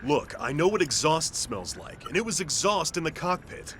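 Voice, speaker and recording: An insistent male crewman complains in a highish, sharp voice with animated swings. It is irritated game cutscene dialogue over faint noise. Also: normal speed. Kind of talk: video game cutscene acting.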